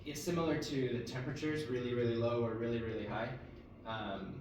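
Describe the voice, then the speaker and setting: A man from Ethiopia, a guest in a casual interview, explaining his views in a thoughtful, measured way. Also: medium pitch. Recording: echoey room, mic far off, noisy.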